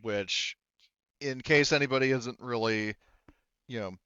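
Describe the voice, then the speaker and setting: A man acting as game master, narrating a tabletop roleplaying game in a casual, halting way as he pieces descriptions together. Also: medium pitch, bright, forceful tone, smooth, no room sound, highs cut off.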